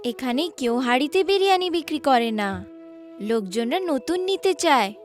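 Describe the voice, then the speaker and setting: A woman's voice: high-pitched, animated, normal speed, faint music behind, voice pushed up. A woman voicing an eager young daughter in a cartoon story, pleading and full of ideas in a childlike way.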